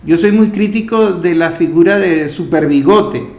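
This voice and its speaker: A Venezuelan man hosts an interview in a strongly echoing room. His voice sits a little high for a man. He talks conversationally, offering opinions alongside his guest. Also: moderate pace, a little mellow. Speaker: interviewer, host. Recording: echoey, roomy sound.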